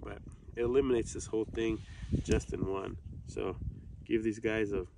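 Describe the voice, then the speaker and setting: Low gravelly man in noisy outdoor recording. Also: fairly even intonation. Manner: halting, unscripted delivery. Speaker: video host, hunter reviewing gear. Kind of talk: casual product review to camera. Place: outdoors on an open grassy hillside. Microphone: camera a few metres away. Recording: noisy outdoor recording, distant camera mic.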